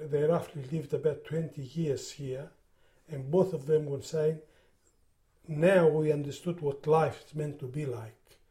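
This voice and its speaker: A man's voice: on the high side, mellow. An older man from Greece with a Greek accent, speaking English as a second language, recalling his working life in an interview, often repeating words as he searches for them. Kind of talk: oral history interview.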